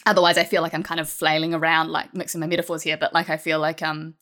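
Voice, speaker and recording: A woman's voice: low-pitched, level tone, quick pace, fairly bright tone. A woman talking casually as a writer guest on a podcast, rambling and correcting herself as she goes. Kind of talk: casual panel chat.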